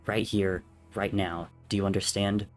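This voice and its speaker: A man voicing a solemn, commanding warrior god with a gruff, deadpan manner in a dramatic story reading. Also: fairly low pitch, normal speed, soft background music, close to an everyday voice. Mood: stern, demanding.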